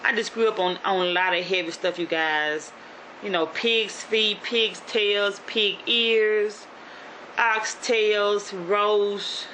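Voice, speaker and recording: A woman's voice: medium pitch, fairly even intonation, slow, bright, forceful tone, a little hiss or hum, rough recording. A woman from Shreveport in North Louisiana with a Southern accent, vlogging in a casual motivational style, rambling with lots of "you know" and restarts. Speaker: YouTube vlogger.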